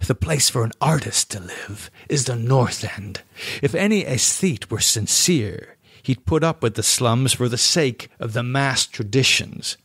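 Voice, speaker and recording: A man's voice: medium pitch, animated, measured pace, a bit bright, husky, dry recording, exaggerated voice. A man voicing a fervent, scornful painter obsessed with the macabre, declaiming with contempt in an audiobook horror story. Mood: scornful, intense.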